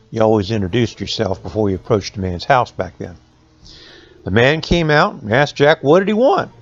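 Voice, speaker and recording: A man with a Southern Appalachian American accent telling stories to children in a folksy, conversational way with dramatic pauses. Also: medium pitch, dry recording, highs cut off. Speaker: school resource officer reading folk tales to students.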